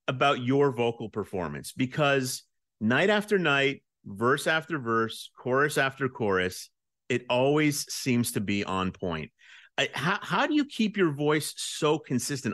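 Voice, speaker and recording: A man's voice: mid-range voice, a bit bright, no echo, clean studio sound. A man interviewing a musician, asking enthusiastic questions full of praise and starting with "um".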